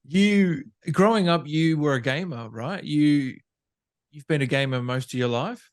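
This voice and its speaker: A man's voice: a little high, unhurried. A man hosting a casual interview, asking informal questions and chiming in with short agreeing replies. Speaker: interviewer, host.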